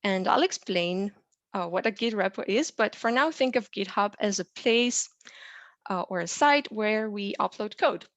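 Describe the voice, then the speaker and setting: A young adult woman from Romania, a software engineer, presents a tutorial in a clear, explanatory way with frequent "uh" and "um" fillers. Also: mid-range voice, animated, unhurried, no room sound, highs cut off. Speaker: software engineer teaching a lesson.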